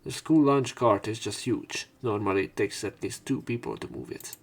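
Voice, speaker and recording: A man's voice: fairly low pitch, normal speed. A man reading visual novel narration aloud as a let's player, with casual commentary. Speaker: let's play streamer.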